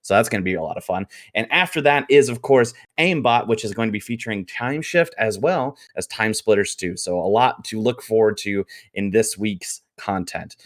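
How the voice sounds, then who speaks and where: A man's voice: lowish voice, moderate pace, hardly any pauses. A man hosting a livestreamed gaming show, speaking to viewers as its presenter. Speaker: show host. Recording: background music under the voice.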